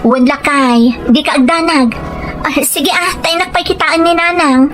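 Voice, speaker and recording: A woman's voice: high voice, moderate pace, lightly acted. A woman with a Filipino Ilocano accent playing a deceitful gambling wife in an audio drama, with music behind her. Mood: feigned worry. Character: deceitful gambling wife and dutiful-sounding daughter. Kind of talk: scripted audio drama acting. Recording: faint music bed behind voice.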